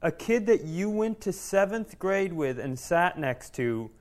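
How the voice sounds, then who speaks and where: American male co-host, slightly high voice. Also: sing-song, unhurried. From small-town United States. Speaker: podcast co-host. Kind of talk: casual comedic banter.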